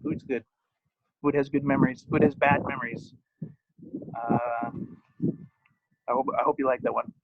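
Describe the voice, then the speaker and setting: A man's voice: medium pitch, flat delivery, normal speed, pauses often, a little hiss or hum. A man giving an author's reading of his own poems and stories, with informal asides. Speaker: poet and author. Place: at home. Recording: dull, muffled lo-fi sound.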